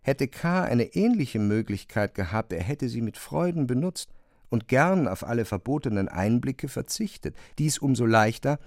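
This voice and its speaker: A man narrating a literary radio drama from a script, in a measured, articulate storytelling manner. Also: on the low side, a little mellow, no room sound, studio quality.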